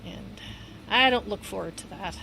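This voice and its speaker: A woman livestreaming a game, chatting in a loose, rambling way with a hoarse voice and easy laughs. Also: medium pitch, moderate pace, bright, forceful tone, a little hiss or hum, low-quality recording. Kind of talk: casual rambling chat while gaming. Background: upbeat game music.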